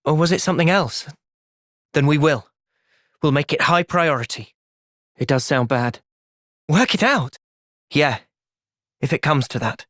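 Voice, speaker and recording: A man with an English accent playing an earnest, thoughtful young soldier hero in a video game, speaking sincerely and with measured delivery. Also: on the high side, expressive, a bit bright, no room sound, studio quality. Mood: calm, earnest. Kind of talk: video game cutscene voice acting.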